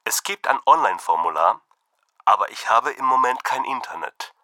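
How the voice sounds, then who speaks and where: A man playing a friendly young Brazilian-born student with Portuguese as his first language, speaking slowly and carefully in a scripted textbook dialogue. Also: medium pitch, animated, pushed and bright, no room sound, studio quality. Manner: slow, carefully enunciated. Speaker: student calling a friend for help.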